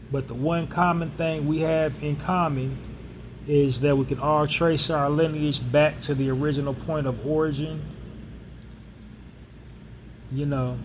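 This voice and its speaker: Rambling podcast host with traffic noise. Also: male voice, fairly high pitch. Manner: rambling, casual, many fillers and restarts. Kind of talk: solo podcast monologue.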